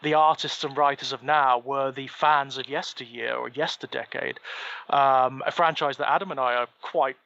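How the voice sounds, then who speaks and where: A man co-hosting a casual podcast conversation, speaking in a measured, articulate and slightly formal way. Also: medium pitch, steady tone, creaky, pushed and bright, no echo, highs cut off. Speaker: podcast co-host.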